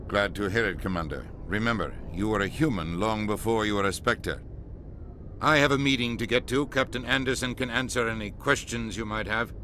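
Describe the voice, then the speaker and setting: A man's voice: lowish voice, a little fast, sharp tone. A man playing a curt, irritable middle-aged ambassador in a video game, stern and bureaucratic in manner. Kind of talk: dramatic video game acting. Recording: clear ambient noise behind.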